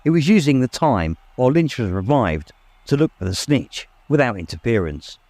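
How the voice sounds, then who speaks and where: A man's voice: mid-range voice, big pitch swings, moderate pace, darkish tone, no room sound. A man narrating a story in a dramatic, scripted audiobook style.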